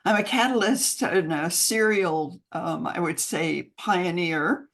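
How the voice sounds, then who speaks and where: Enthusiastic older American woman, low voice. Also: slow pace, creaky. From United States. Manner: enthusiastic, expressive, laughs often. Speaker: guest, mentoring program founder. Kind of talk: podcast interview.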